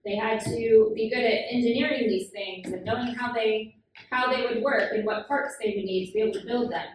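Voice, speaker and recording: A woman leads a children's Bible class, patiently quizzing the kids and filling gaps with "um". Her voice sits slightly high. She is heard from far off in a heavily echoing room with clear background noise. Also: steady tone, a little fast. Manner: patient, questioning, frequent "um" fillers. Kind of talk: classroom teaching with questions to children. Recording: very echoey room, far from the mic, noisy.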